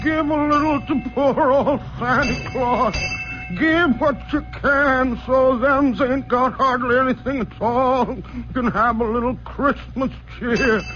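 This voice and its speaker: A man's voice: very high-pitched, steady tone, slow, a bit bright, soft background music, heavily acted. An actor playing a shabby, whiny man dressed as a street Santa, begging for donations in ungrammatical street talk. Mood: pleading. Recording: muffled old radio broadcast.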